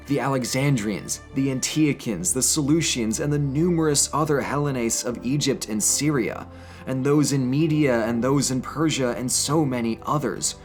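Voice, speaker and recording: A young man speaking as a presenter of history and poetry, earnest and emphatic in an educational delivery. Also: medium pitch. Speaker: presenter reading and explaining poetry. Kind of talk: casual educational commentary with dramatic poetry reading.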